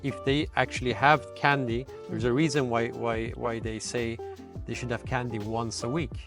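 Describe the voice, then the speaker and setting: A man's voice: medium pitch, level tone, normal speed, over music. A man in his forties from Sweden, a native Persian speaker, chatting casually as an interview guest and often repeating words as he thinks. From Sweden, born in Iran. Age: 40s. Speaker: podcast guest, dentist. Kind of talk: casual chat.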